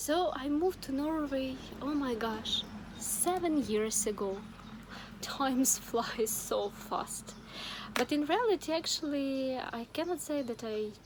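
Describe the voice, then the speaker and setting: A woman's voice: high-pitched, a little hiss or hum, low-quality recording. A young woman from Ukraine telling her own story in a casual vlog, chatty, with many fillers and restarts. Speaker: vlogger.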